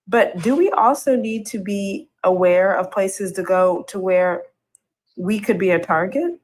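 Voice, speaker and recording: Woman panel guest, mid-pitch, earnest and questioning. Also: a little slow. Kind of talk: casual news chat.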